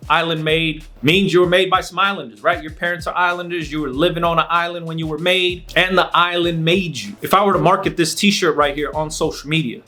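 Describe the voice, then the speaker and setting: A man's voice: fairly high pitch, fairly even intonation, brisk, few pauses, pushed and bright. A man from Los Angeles with a Californian accent gives casual instructional advice to camera as a host, speaking emphatically in repeated phrases and checking in with the listener. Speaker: host, clothing brand owner giving marketing advice.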